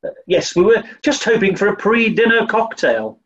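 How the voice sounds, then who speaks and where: A man playing a dim-witted, stuffy upper-class English gentleman with an English accent in a 1940s-style radio comedy. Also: sits high, sing-song, normal speed, dull audio. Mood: polite, pompous.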